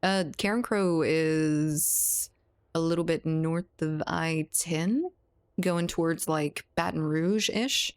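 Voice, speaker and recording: Chatty low-voiced woman streamer. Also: slow pace, smooth. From South Louisiana, US. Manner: chatty, laughs often, reads and answers chat. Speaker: game streamer. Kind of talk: casual live-stream chat while gaming.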